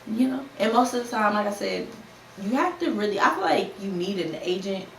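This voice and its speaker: A woman's voice: medium pitch, fairly quick, gravelly. Young woman chatting, roomy and distant. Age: 20s. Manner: candid and conversational, with lively put-on quotes and slang. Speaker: podcast host. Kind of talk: casual solo podcast talk. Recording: roomy, a bit distant from the mic, faint ambient noise.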